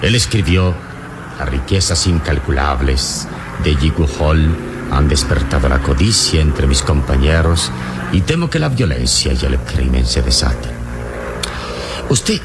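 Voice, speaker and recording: Super deep radio serial adventure hero, calm n gallant, theatrical, noisy plane hum behind. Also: male voice, heavily acted. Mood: thoughtful. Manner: deliberate, theatrical, reassuring. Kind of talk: old Latin American radio serial acting. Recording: noisy radio drama with engine drone.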